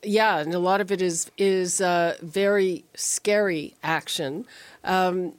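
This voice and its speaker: Woman, radio call-in host, lowish voice, dry studio recording, conversational, hesitant phrasing. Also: fairly even intonation, slow, no echo. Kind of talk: conversational live talk radio hosting.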